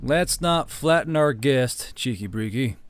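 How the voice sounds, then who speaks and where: A man's voice: mid-range voice, lively intonation, a little slow. A man voicing a male military leader, wry, casual and courteous, in an audiobook story.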